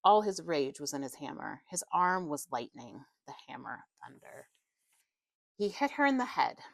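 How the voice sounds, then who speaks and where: A woman's voice: low-pitched, sing-song, normal speed, vocal fry, dry recording. A woman reading a horror novel aloud as an audiobook narrator, telling the story in a dramatic scripted style.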